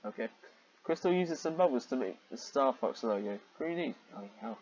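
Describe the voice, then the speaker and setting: Husky, exasperated man reading aloud. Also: fairly high pitch, animated, fairly quick, a little mellow, muffled recording. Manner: exasperated, sweary, sarcastic. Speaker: reader and commentator of fan fiction. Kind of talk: reading fan fiction aloud with mocking asides.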